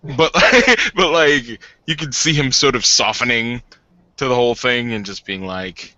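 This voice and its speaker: A man playing a tabletop roleplay game, casually narrating his character's actions in a halting, excited flow. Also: medium pitch, dry recording, dull audio.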